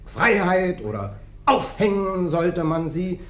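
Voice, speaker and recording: An actor playing a sly, ingratiating vagrant guest in a German radio play, flattering and theatrical. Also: male voice, high-pitched, slow pace, full character voice. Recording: dull, roomy old radio recording.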